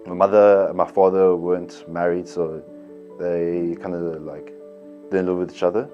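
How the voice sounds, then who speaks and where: A young man from Cape Town speaks hesitantly in a deep, flat voice, pausing on likes and ums. The audio sounds dull, and clear guitar music plays beneath him. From Cape Town, South Africa. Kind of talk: personal interview.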